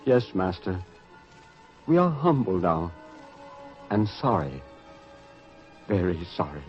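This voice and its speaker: Slow, humble penitent disciple. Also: male voice, low-pitched, sing-song, exaggerated voice. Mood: remorseful. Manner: solemn, measured, penitent. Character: humble, remorseful male disciple confessing guilt. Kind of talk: old-time religious radio drama acting. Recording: muffled radio recording with music.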